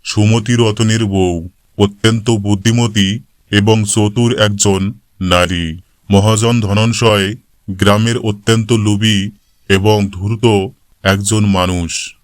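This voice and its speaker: Low, slow, smooth-voiced male storyteller. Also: steady tone, no echo, studio quality. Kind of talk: scripted narration of a cartoon moral story.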